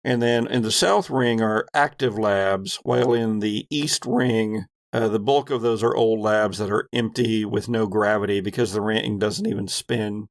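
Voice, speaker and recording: Slow, gravelly man running a game. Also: lowish voice, fairly even intonation, dry recording. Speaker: game master of a tabletop RPG. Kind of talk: narrating and refereeing a tabletop game.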